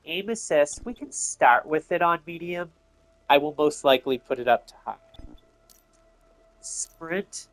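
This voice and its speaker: A man giving casual, rambling, explanatory commentary as he plays through a video game. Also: a little high. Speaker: gamer narrating a playthrough.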